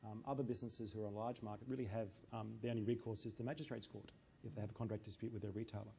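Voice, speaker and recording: A man answers a panel question at a quick pace, with a gravelly voice. He hesitates and restarts often, and faint noise sits behind him. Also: on the low side, fairly even intonation, warm tone. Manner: hesitant, many ums and restarts. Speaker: panelist, business energy adviser. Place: conference room.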